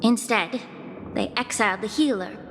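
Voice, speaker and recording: A woman voicing a composed young fantasy healer in a video game, speaking in a measured, formal and articulate way. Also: on the high side, noisy background, close to an everyday voice. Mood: questioning, quietly bitter. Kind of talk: scripted video game dialogue. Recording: long reverb, clear music underneath. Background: game music.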